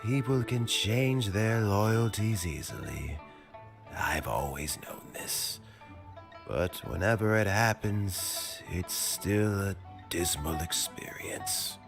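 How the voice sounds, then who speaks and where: An actor playing a dignified, weary older official in a video game, reflective and deliberate. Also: male voice, on the low side, expressive, vocal fry, heavily acted. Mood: grateful, rueful. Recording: game music behind the voice.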